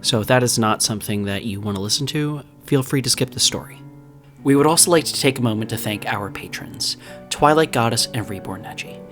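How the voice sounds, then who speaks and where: A man's voice: mid-range voice, a little fast, fairly bright tone. A man hosting a podcast, reading out an introductory announcement to listeners. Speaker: podcast host. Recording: music behind the voice.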